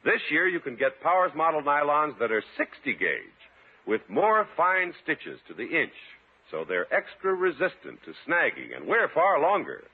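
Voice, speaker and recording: A male announcer delivers a 1950s radio commercial. He sells with great emphasis and enthusiasm, his pitch swinging widely. The voice sounds rough-edged on a thin, tinny broadcast recording. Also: huge pitch swings, normal speed.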